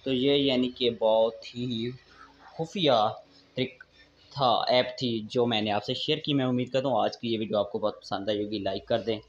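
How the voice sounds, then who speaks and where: A man's voice: medium pitch, steady tone, moderate pace, slight background noise. A man hosting a tech tips channel, narrating a casual step-by-step app tutorial to his viewers. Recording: dull, lo-fi voice-over recording.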